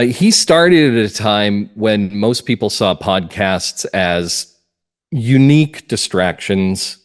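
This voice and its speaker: A man speaking as a writer being interviewed, reflective and earnest, setting out his views in deliberate, emphatic phrases. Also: lowish voice. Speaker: playwright and audio drama writer, interview guest.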